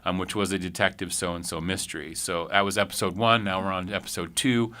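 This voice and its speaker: A man's voice: deep voice, flat delivery, a little fast, bright, forceful tone. A man hosting a radio show in a casual, conversational interview style.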